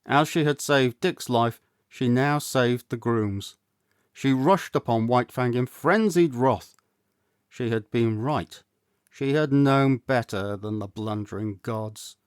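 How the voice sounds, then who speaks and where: A man reading a story aloud as an audiobook narrator, expressive and theatrical, with measured delivery. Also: mid-range voice, clear, resonant tone, no room sound, clean studio sound. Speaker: audiobook reader, actor.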